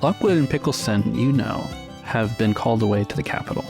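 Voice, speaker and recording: Gravelly low man narrating over guitar. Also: brisk. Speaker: game master. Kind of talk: improvised present-tense scene narration for tabletop roleplay. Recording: acoustic guitar music behind voice. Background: plucked guitar music.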